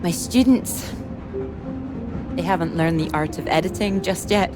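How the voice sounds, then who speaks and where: An actress playing a composed, friendly female academic in a game drama, polite and gently wry in manner. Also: measured pace, close to an everyday voice. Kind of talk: naturalistic game drama acting. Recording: music over the dialogue. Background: music, vehicle rumble.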